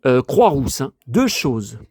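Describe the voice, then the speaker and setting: Hoarse grumbling older Frenchman. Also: mid-range voice, sing-song, slow pace, darkish tone, no echo. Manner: grumbling, exasperated, talks to himself. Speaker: vlogger showing flea-market finds. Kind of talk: casual monologue to viewers.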